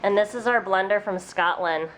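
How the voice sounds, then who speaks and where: A woman's voice: mid-range voice, normal speed, noisy background. A young woman with a congested, stuffy voice, chatting casually and upbeat while filming a cooking vlog in a small apartment. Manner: chatty, upbeat, laughs often. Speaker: vlogger. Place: small apartment room and kitchen, indoors.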